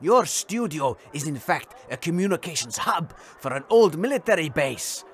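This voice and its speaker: An actor playing a grandiose crackpot treasure hunter who draws out every revelation in a comedy audio drama. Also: male voice, on the high side, sing-song, fairly bright tone, slight background noise. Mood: explaining dramatically. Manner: grandiose, drawn-out suspenseful delivery. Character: theatrical male crackpot treasure hunter revealing secrets.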